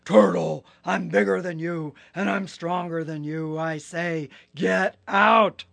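A woman voicing a huge, bullying male hippopotamus who shouts orders. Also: fairly even intonation, takes it slowly, creaky, no room sound, full character voice. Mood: bossy. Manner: loud, bossy, booming.

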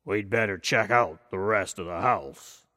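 A man voicing a slow, deliberate papa bear in a storybook tale. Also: fairly low pitch, pushed and bright, in a large hall, pitched below the performer's own voice. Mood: puzzled.

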